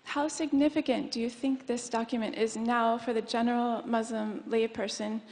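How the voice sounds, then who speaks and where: Young female questioner, formal and prepared, slightly high, reverberant hall. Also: fairly even intonation, moderate pace, darkish tone. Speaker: audience questioner. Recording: echoey lecture hall.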